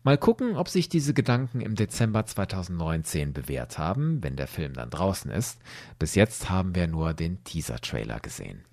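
Deep-voiced male podcast narrator, lively melody. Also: moderate pace, vocal fry, dry recording. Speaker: podcast host and storyteller. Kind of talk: scripted explanatory narration with casual asides.

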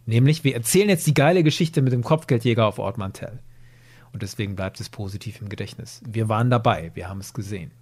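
A man talking casually as a podcast guest, opinionated and expressive in how he makes his points. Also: on the low side, fast. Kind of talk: casual discussion.

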